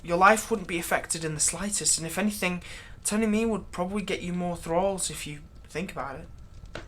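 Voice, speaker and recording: A woman plays a vampire's long-suffering human thrall in an audio roleplay. The voice is on the low side for a woman, conversational and halting, with a sardonic, exasperated edge. There is some noise behind it. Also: fairly bright tone, close to an everyday voice. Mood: persuading. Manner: conversational, halting, sardonic, emphatic when aggrieved. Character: long-serving human thrall, exasperated, pleading, turning menacing toward vampire master. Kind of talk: dramatic ASMR roleplay monologue.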